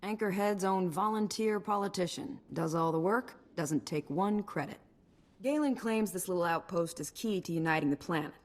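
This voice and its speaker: An actress playing the blunt, harried mayor of a desert outpost in a video game, plainspoken and wry. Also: female voice, fairly low pitch, moderate pace, natural voice.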